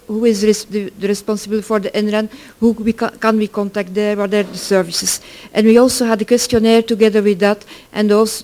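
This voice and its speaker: A middle-aged or older speaker from Belgium, giving an informal conference presentation in halting, self-correcting English. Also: mid-range voice, darkish tone. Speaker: project work package leader, conference presenter.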